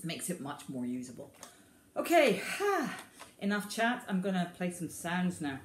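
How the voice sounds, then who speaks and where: A woman in her forties chatting casually to the camera between guitar riffs, relaxed and self-deprecating, in a small room at home. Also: on the low side, measured pace, a little hiss or hum. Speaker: guitarist demoing an effects preset. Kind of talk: casual gear demo talk to camera. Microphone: camera on the floor, looking up. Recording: roomy echo, loud electric guitar behind.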